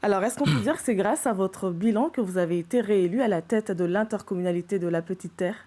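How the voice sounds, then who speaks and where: Female TV news interviewer, soft dark mellow tone, medium pitch, broadcast register. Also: fast talker.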